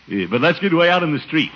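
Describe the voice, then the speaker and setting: An actor playing a pompous, blustering middle-aged uncle with a booming, chuckling manner in a 1950s radio comedy. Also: male voice, a bit bright. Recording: dull, noisy 1950s radio recording.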